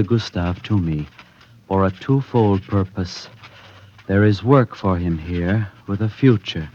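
A male actor playing a devoted husband reading out a persuasive letter to his wife, measured, earnest and formal, in an old-time radio drama. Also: fairly low pitch, darkish tone. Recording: muffled old radio recording.

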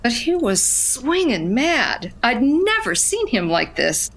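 A woman's voice: fairly high pitch, wildly swooping pitch, takes it slowly, a bit bright, heavily acted. An actress playing a wistful narrator who recalls her childhood in a folksy storytelling style for an audio drama. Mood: pained. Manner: reminiscing, folksy.

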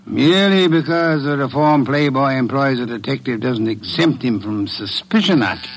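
A man playing an imperious, lazy, middle-aged detective in an old-time radio drama, speaking formally and deliberately. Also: mid-range voice, natural voice, poor audio. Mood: grumbling, aloof. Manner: pompous, formal, deliberate diction.

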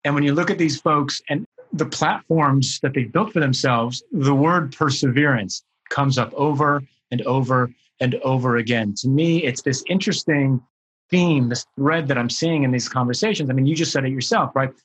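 A man's voice: mid-range voice, normal speed, a bit bright, husky, poor audio. A man hosting an interview, reflecting on his guest's story in a conversational style. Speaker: interviewer, host.

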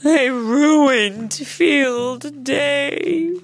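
A woman voicing a boastful, easily wounded coyote in a children's story, with drawn-out, emphatic words. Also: high-pitched, soft background music, pitched above the performer's own voice, full character voice. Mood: miserable.

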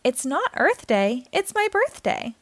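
Woman acting silly young male monkey, proud, insistent, childlike, very high lilting pitch, storybook character. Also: big pitch swings, measured pace, dry recording.

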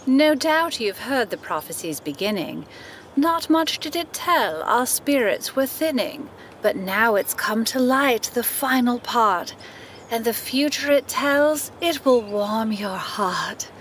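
Mystical fortune teller, high slow singsong rhymes, fantasy audio drama, bit of noise behind. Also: female voice, expressive, fairly bright tone. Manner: singsong rhyming delivery, lofty and sweet. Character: theatrical fortune teller woman, prophesying in rhyme.

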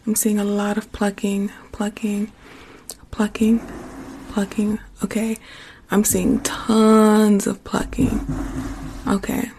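Hoarse woman chatting to her live viewers. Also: mid-range voice, level tone, slow pace, pauses often, a little hiss or hum. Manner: warm, reassuring, repeats herself. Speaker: ASMR livestream host. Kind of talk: casual chat to live viewers.

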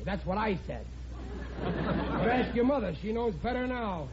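Casting: excitable, bumbling sitcom dad, high-pitched, blustery and muddled; old noisy radio sound. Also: male voice, unhurried, a loud crowd. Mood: scolding, indignant. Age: middle-aged. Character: excitable, bumbling, blustering middle-aged father. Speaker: actor. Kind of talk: 1940s-50s radio situation comedy acting. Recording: muffled, noisy vintage radio recording.

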